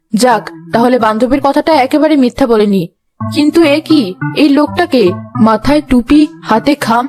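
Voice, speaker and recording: A woman's voice: high voice, a little mellow, natural voice. A woman voicing a suspicious, snooping mother-in-law in a comedy cartoon, dramatic and accusatory. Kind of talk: Bengali cartoon comedy acting.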